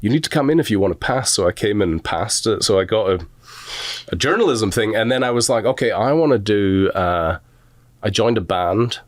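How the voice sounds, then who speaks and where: A middle-aged man with a softened Scottish accent, an animation instructor telling rambling, self-deprecating stories in a relaxed interview. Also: fairly low pitch, brisk, no room sound. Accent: Scottish, softened toward American. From Scotland.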